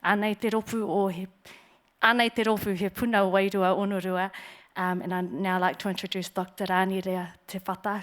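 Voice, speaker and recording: A woman giving a prepared symposium talk. She opens with formal greetings and speaks in a measured way with frequent fillers. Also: mid-range voice. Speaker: symposium presenter. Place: conference hall.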